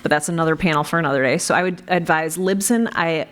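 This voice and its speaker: A woman's voice: deep voice, fast talker, fairly bright tone. A woman giving unscripted, practical advice on a panel, emphatic and repeating her warnings. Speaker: panelist and podcast creator. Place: convention panel room.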